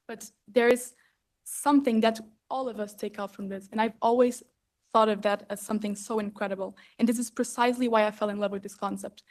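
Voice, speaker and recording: A young woman, a student network member, giving a heartfelt personal speech in a large hall, halting often with fillers. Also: medium pitch.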